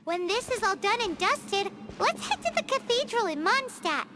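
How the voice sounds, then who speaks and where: A woman voicing a tiny, cheeky fairy companion in a video game, squeaky and chatty. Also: very animated, moderate pace, very noisy. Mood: amused. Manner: squeaky, cheeky, refers to herself by name. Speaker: game character. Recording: game audio with music behind.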